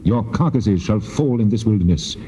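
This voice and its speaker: A man's voice: fairly low pitch, expressive, moderate pace, mellow, close to an everyday voice. A man playing a wrathful, majestic God in a dramatized scripture recording, speaking in solemn declamation. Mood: stern, wrathful. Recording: muffled, long cavernous reverb.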